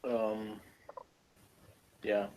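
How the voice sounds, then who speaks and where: A man's voice: on the low side, level tone, very slow, dark tone. A man chatting casually as a guest reviewer, hesitant, rambling and flippant, on dull lo-fi audio. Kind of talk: casual chat.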